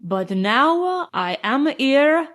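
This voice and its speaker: A woman plays a dapper young count with a foreign accent. He speaks very slowly and carefully, picking out his English with serene, polished courtesy. It is a storybook character voice, pitched on the high side. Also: very animated, a bit bright, full character voice. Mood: polite, serene. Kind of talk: audiobook character voice.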